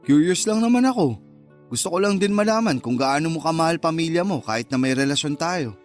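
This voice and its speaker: A man's voice: on the high side, lively intonation, fairly quick, soft background music, lightly acted. A man playing the wistful, sulky lover of a married woman in a Tagalog radio love drama, casual and understated. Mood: pensive.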